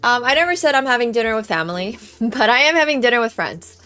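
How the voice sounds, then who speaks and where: A woman's voice: on the high side, lively intonation, fast talker, bright, forceful tone, clear, resonant tone. A young woman with Chinese as her native language, chatting casually with viewers as a live-stream host. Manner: chatty, thanks viewers, tries greetings in many languages. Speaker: livestream host. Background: faint background music.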